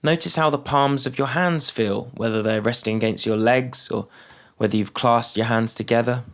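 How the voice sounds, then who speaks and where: A man leading a guided mindfulness meditation, speaking calmly and unhurriedly with long pauses between phrases. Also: medium pitch.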